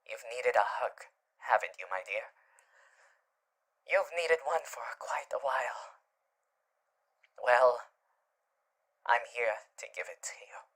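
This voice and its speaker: An actor voices a gentle male demon with theatrical, old-fashioned charm, made for an ASMR comfort roleplay. His pitch swings widely, yet he takes his time. He is tender and hesitant, often slipping into soothing whispers. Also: animated, slow pace, long pauses.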